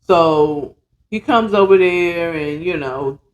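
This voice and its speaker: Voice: medium pitch, slow pace, lo-fi sound, a little hiss or hum. A podcast host casually retelling a story with dramatic, conversational emphasis, repeated words and blunt, slangy, profane commentary.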